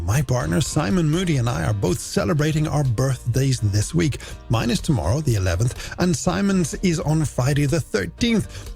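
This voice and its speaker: Animated Irish male late-night radio DJ. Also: on the high side, vocal fry. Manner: playful, theatrical, polished delivery. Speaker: late-night radio music show host. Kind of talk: radio DJ presenting, game segments and shout-outs. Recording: music bed under the voice. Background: music.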